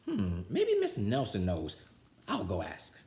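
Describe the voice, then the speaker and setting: A man's voice: deep voice, huge pitch swings, a little slow, darkish tone, slight background noise, exaggerated voice. Man acting a puzzled, swooping-voiced school man, echoey. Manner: wondering, hesitant. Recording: roomy indoor echo.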